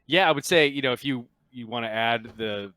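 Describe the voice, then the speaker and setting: A man's voice: medium pitch, fairly quick, pushed and bright, clean tone, no echo. A man talking casually as the game master of a tabletop roleplaying game.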